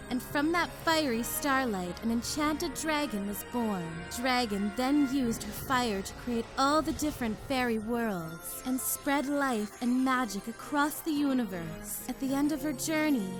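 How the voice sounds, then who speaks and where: Woman as a dignified fairy headmistress, fairly high. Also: low-quality recording. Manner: measured storytelling. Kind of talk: cartoon fandub acting.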